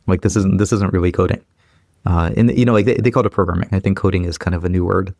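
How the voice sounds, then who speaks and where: A man's voice: sits low, fairly even intonation, gravelly, darkish tone, no echo, studio quality. A man in his thirties, originally from Ukraine, speaking as an interview guest in casual chat, with lots of fillers and restarts. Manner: quick, many fillers and repeated restarts.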